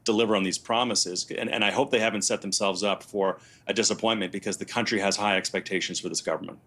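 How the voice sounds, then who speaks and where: A man's voice: fairly low pitch, steady tone, fast talker, sharp tone, slightly rough. A man giving conversational political commentary as a panelist.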